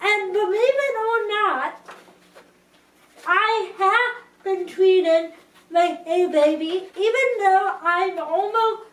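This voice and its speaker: Young woman vlogger, very slow deliberate delivery, roomy distant sound. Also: very high voice. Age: young adult. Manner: slow, careful speech with restarts. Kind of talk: casual talk to camera.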